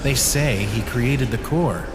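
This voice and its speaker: A man voicing an eerie gossiping follower of a vanished scientist in a comic dub, ominous and deliberate. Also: medium pitch, natural voice. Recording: echoey, noisy background.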